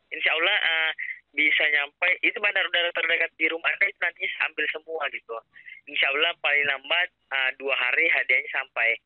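A man with a slightly high voice talks over a thin, narrow phone line with no room sound. He is making a persuasive pitch, insistent yet hesitant as he goes. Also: no echo. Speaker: phone caller claiming to be a prize official. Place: on a phone call.

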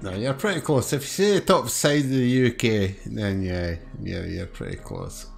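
A man's voice: on the low side, very animated, normal speed, vocal fry, soft background music. A man from Scotland with a Scottish accent, chatting easily while gaming over voice chat. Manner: easygoing, calls people mate. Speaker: gamer on voice chat.

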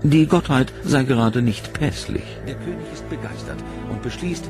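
A man giving scripted, measured documentary narration in a dramatic storytelling manner, over a music bed. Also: mid-range voice. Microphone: studio voice-over mic.

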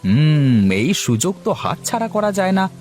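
A man voicing a jolly, food-loving middle-aged customer in a cartoon who exclaims loudly and savours every bite. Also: a little high, sing-song, normal speed, faint music behind. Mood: delighted.

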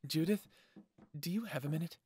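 A man's voice: fairly high pitch, a little fast, a little mellow, slight background noise, natural voice. A man playing an earnest lone male werewolf who longs for family, speaking sincerely in an audio drama.

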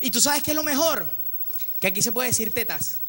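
A young man from Venezuela with a Venezuelan accent, bantering playfully on live TV and excitedly repeating his phrases. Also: very high voice, fairly quick. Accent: Venezuelan Spanish. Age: young adult. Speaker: guest TV presenter. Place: TV studio stage. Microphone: handheld microphone. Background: music.

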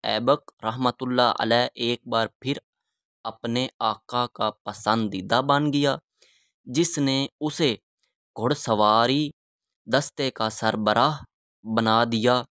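A man narrating a historical walking tour in a measured, reverent, halting way, phrase by phrase. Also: medium pitch, fairly even intonation, a bit bright, dry recording, clean studio sound. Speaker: vlogger and guide.

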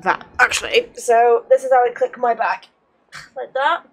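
A woman's voice: a little high, normal speed. A woman in her twenties chatting casually and candidly as she plays a game, speaking into a desk mic. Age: 20s. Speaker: streamer playing a game. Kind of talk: casual chat over gameplay, reading dialogue aloud. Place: small bedroom with gaming setup. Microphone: close desk microphone. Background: game music.

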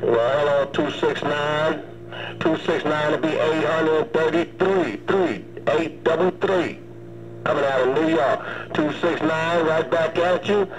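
A man's voice: a little high, measured pace, a crowd in the background, low-quality recording. A man giving folksy call-sign patter in a casual CB radio chat. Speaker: CB radio operator. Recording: old CB radio transmission.

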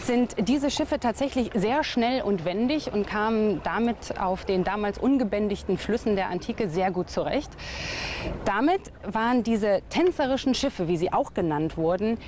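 A woman's voice: mid-range voice, animated, moderate pace, background noise. A woman presenting a documentary on location as a reporter.

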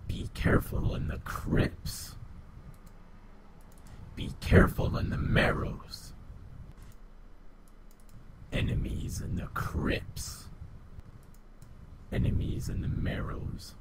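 A man voicing a scary invisible Reaper for a video game, whispery, heavily effected and hard to understand. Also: very noisy. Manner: slow, short warnings and remarks. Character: scary invisible male Reaper, menacing battlefield announcer. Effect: heavily edited voice effects.

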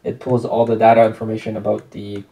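A man's voice: on the low side, completely flat delivery, fast, warm tone, low-quality recording. A man giving a casual product review in an unboxing video. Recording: clear background noise with clicks.

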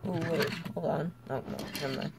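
A young student giving a casual, unscripted toy car review in a hesitant, halting way with trailing thoughts. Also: medium pitch, steady tone, vocal fry, background noise.

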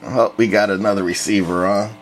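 A man's voice: on the low side, steady tone, fairly quick, music in the background, poor audio. A man casually demonstrating a vintage radio in his workshop, matter-of-fact and repeating test words into the mic. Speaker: radio collector demonstrating equipment. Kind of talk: casual hands-on demo narration.

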